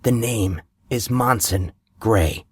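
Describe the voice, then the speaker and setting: A man's voice: fairly low pitch, slow pace, husky, dry recording, clean studio sound. A man doing a cold, menacing young man. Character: angry young man threatening a rival.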